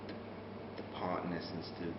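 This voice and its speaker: A man giving a casual interview as an actor, speaking in a hesitant, thoughtful way and rephrasing as he goes. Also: normal speed. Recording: dull, very noisy, echoey room recording.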